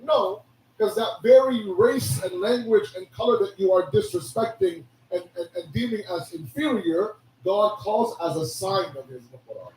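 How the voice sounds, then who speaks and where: Hoarse man preaching in an echoey hall. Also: sits high, normal speed, far from the mic, a little hiss or hum. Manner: animated preaching with rhetorical questions. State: hoarse, losing his voice. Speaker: religious speaker. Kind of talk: sermon.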